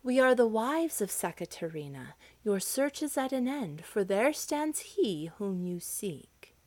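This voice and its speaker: A woman voicing the two young wives of a giant, speaking in a hushed, formal way. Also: medium pitch, very animated, unhurried, dry recording, pitched above the performer's own voice. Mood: solemn.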